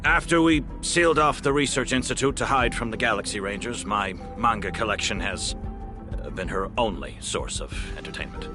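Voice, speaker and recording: An actor playing a protective, geeky research scientist in a video game, explaining things earnestly and a little hesitantly over music. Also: male voice, lowish voice, moderate pace, natural voice. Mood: sheepish, geeky. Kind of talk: video game dialogue acting.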